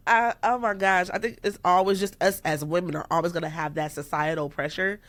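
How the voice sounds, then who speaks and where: Animated woman turning thirty. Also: lowish voice, fairly quick. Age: 30s. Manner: laughs often, animated, quotes herself in raised voice. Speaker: guest musician. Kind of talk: casual podcast interview.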